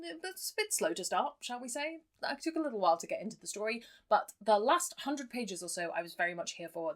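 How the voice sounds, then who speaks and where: A woman giving a casual, chatty review with wry understatement, speaking as a reviewer to her audience. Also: mid-range voice. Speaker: book reviewer. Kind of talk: casual scripted-free book review to camera.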